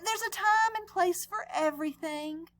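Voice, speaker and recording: A woman voicing a patient, gently firm female schoolteacher sea creature with a measured, instructive manner in a picture-book read-aloud. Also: very high-pitched, pitched above the performer's own voice.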